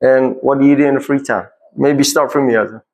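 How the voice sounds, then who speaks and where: A man in his fifties with an Indonesian accent, speaking informally to a group as a trainer, jovial and halting. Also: medium pitch, fairly even intonation, darkish tone, poor audio. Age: 50s. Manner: jovial, chuckles often. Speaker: trainer introducing a course. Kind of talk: informal self-introduction to a group.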